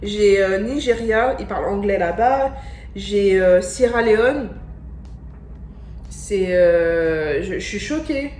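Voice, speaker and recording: A young woman from France reacting in a casual vlog, excitable and slangy, repeating her exclamations. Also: medium pitch, slow, a little hiss or hum. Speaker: vlogger. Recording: echoey room with faint music.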